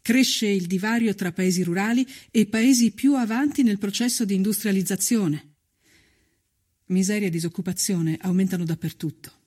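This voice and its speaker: Measured male documentary narrator. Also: high voice, a little mellow, husky. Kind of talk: scripted dramatic documentary narration.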